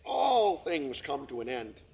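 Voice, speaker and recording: Man reading as a storybook father swan, pompous, grand speeches, lively swooping voice, gravelly edge, noisy recording with birds behind. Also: medium pitch, slow pace, heavily acted. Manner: grand, long-winded speechmaking.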